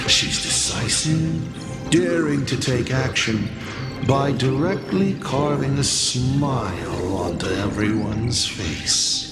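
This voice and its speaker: Game villain: smug, playful trickster, theatrical and teasing, lively intonation, echoey with loud backing music. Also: male voice, medium pitch. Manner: theatrical, teasing, drawn-out dramatic delivery. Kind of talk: dramatic video game voice acting.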